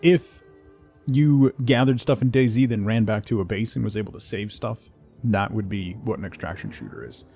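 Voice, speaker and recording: A man's voice: on the low side, lively intonation, moderate pace, gravelly, warm tone, faint music behind. A man livestreaming a game with casual, conversational commentary, chatting to viewers in a laid-back way.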